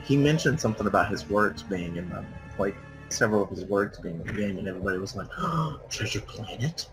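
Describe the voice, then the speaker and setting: A man with a slightly low voice co-commentates on a game. His talk is casual banter between friends. Game music is clearly audible behind him. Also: lively intonation, brisk, poor audio.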